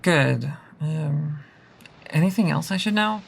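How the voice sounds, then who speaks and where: A woman playing an uneasy young fledgling vampire in an audio drama, halting and wary. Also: sits low, lively intonation, gravelly, slight background noise, exaggerated voice.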